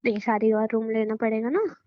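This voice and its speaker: A woman's voice: on the high side, fast. A young woman chatting casually as a girlfriend, coy and hesitant, with playful protests. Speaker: girlfriend in a couple's conversation. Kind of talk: casual intimate couple chat in Hindi. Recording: dull, lo-fi call-style recording.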